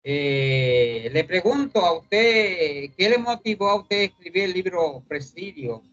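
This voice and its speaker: A man's voice: high-pitched, lively intonation, slow pace, a bit bright. A man hosting a formal interview, addressing the guest politely and formally. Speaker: interviewer and host. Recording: dull lo-fi sound, faint music.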